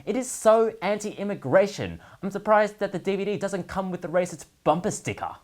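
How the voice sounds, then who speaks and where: A male host delivers scripted comedic commentary in a very animated voice. His pitch rises and falls widely through each sentence. He is sardonic throughout, setting up and landing punchlines with mock seriousness. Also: brisk. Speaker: host of a gaming news segment.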